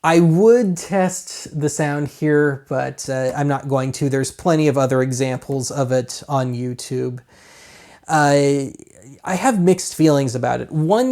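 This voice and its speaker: A man's voice: mid-range voice, dark tone. An American man hosting a casual gadget walkthrough in a rambling, colloquial, self-deprecating way. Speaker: host of a gadget review show.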